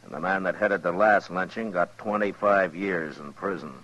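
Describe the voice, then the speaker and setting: An American actor playing a calm, fair frontier marshal, measured and plain-spoken, in 1950s radio western style. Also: male voice, low-pitched, highs cut off. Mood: firm, stern. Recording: noisy old-time radio recording.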